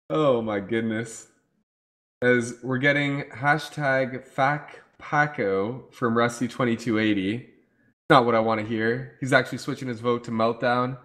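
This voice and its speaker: A man casting a live online game match, talkative and playful, his voice going hoarse. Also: medium pitch, steady tone, slow pace, a bit bright. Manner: talkative, playful, hypes the chat. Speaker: esports caster. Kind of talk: casual live game commentary.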